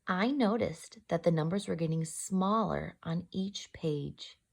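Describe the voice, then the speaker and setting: A woman's voice: fairly low pitch, a little slow, gravelly, a little mellow. A woman storyteller reading a children's picture book aloud expressively, addressing young listeners directly. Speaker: storyteller, host.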